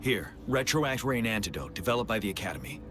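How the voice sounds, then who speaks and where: A man playing a composed, dutiful army general in a game, speaking in a formal, measured, solemn way. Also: on the low side, very animated, over music. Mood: courteous, formal. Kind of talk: English game cutscene voice acting.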